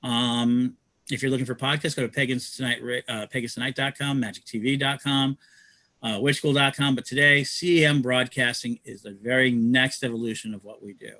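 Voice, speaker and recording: A man's voice: medium pitch, fairly even intonation, normal speed, sharp tone, no room sound. A man hosting a livestream, who talks about community projects in a rambling, enthusiastic way. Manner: rambling, enthusiastic, many restarts. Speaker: host. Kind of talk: promotional livestream talk.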